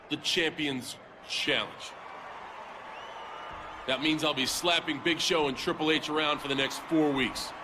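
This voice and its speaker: A man's voice: fairly high pitch, noisy background, close to an everyday voice. A man as a cocky wrestling champion. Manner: cocky, playful trash talk. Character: brash male wrestling champion addressing the crowd. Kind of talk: video game cutscene dialogue. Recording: game audio with arena ambience.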